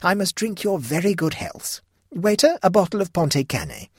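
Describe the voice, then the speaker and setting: A merry old aunt who has just found a taste for the gaming tables, as a man reads her, her voice lifted well above a man's usual tone. She sounds breathy, bounces through her lines and never doubts herself. The room around her is dry. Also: high voice, very animated, moderate pace, a little mellow, no echo, voice pushed up, exaggerated voice. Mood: breezy. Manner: breezy and self-assured. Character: cheerful old aunt, a newly bold gambler.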